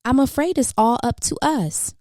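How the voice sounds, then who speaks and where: A woman plays a determined storybook father dog. His voice is raised and very lively in pitch, earnest and emphatic, with a dry, close sound. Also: moderate pace, dry recording. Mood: resolute. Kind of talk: children's storybook character voice.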